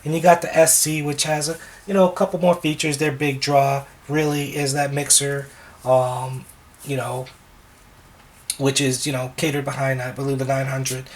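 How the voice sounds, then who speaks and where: A man with a slightly hoarse voice, speaking at an ordinary middle pitch. He chats casually as a wedding DJ going over his gear. He rambles in a relaxed way, with lots of ums and restarts. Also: moderate pace.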